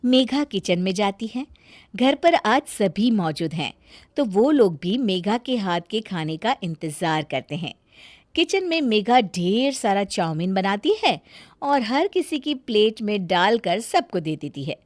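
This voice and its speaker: A woman storyteller narrating a scripted moral tale in an expressive, deliberate way. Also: mid-range voice, no room sound, studio quality.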